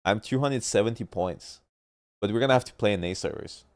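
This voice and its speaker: A man's voice: fairly low pitch, a little fast, no room sound, clean studio sound. A man streaming casually, rambling and arguing about competitive teams and often losing his thread, with a stuffy nose. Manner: rambling, argumentative, trails off mid-point. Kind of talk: casual gaming chat.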